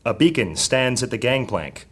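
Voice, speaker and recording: A man's voice: mid-range voice, expressive, normal speed. A man narrating a fantasy audio drama in a dramatic, scripted storytelling style.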